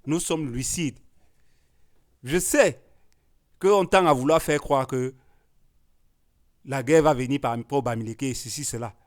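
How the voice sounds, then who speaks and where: A man's voice: medium pitch, takes it slowly, pauses often. A man from Cameroon, an artist arguing hard in a panel discussion. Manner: emphatic, rising to forceful declarations.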